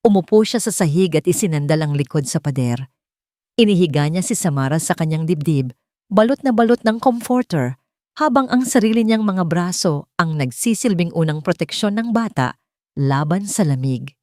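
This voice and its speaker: A woman's voice: on the low side, animated, normal speed, dark tone, dry recording, studio quality. A woman narrating a dramatic scripted love story.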